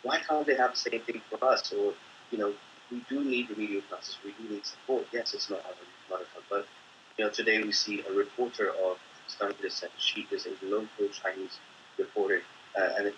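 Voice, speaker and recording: A man's voice: lowish voice, steady tone, fairly quick, fairly bright tone, muffled recording, slight background noise. A man whose first language is Hindi, speaking as a panel guest, hesitant with many fillers.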